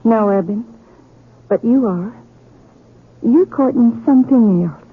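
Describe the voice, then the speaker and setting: A young frontier schoolmarm, sad and firmly reproachful, with a husky edge. The sound is thin and tinny, like old radio drama. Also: female voice, fairly high pitch, slow pace. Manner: gentle but firm reproach. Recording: tinny vintage radio recording.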